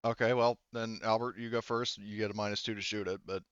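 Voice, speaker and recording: Smooth, low-voiced man running a game. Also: steady tone, fast talker, fairly bright tone, no echo, muffled recording. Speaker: game master. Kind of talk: casual tabletop game refereeing.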